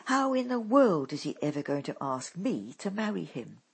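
A woman's voice: fairly low pitch, very animated, normal speed, gravelly, slightly rough, full character voice. A woman reading aloud, voicing a timid teenage girl who stammers and sounds tearful. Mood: bewildered.

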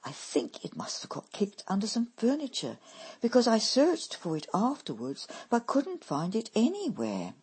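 A woman's voice: huge pitch swings, moderate pace, darkish tone, husky, heavily acted. Woman doing Dolly, posh put-on young woman. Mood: delighted. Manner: shrill, then put-on genteel tones. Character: indignant young woman, later affectedly posh.

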